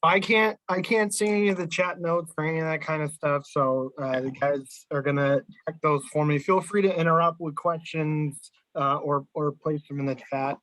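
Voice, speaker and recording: A man from New England with a Boston accent, giving a relaxed, conversational talk as guest speaker on a video call. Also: on the high side, normal speed, no echo, rough recording. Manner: relaxed, conversational, self-restarting. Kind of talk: presentation over video call.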